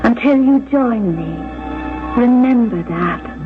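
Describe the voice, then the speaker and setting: An actress playing a tender, eerie ghost of an eighteen-year-old woman, with haunting, deliberate 1970s radio drama delivery. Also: on the high side, expressive. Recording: muffled radio broadcast under loud music.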